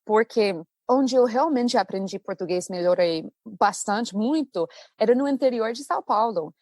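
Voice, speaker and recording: An American woman, a native English speaker and English teacher, chatting as a podcast guest in a lively storytelling manner. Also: a little high, normal speed, dry recording. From United States. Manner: chatty, animated storytelling with laughs. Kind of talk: casual podcast conversation.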